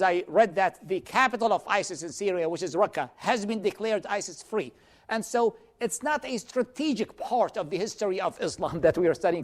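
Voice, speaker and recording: A man from Iraq, lecturing a church class in a measured, explanatory way and answering audience questions. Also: sits high. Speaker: teacher of a church class series.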